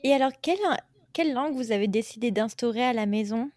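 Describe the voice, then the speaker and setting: A woman interviewer with a fairly high voice asks relaxed, friendly questions. She chimes in often with short agreements. The sound is dry and close. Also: quick pace, dry recording. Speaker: podcast host, interviewer. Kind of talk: casual conversational interview.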